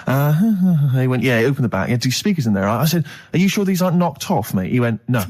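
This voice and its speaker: A man's voice: medium pitch, very animated, fast talker, a little mellow. A man telling a comic anecdote as a radio co-host, chattily acting out the dialogue.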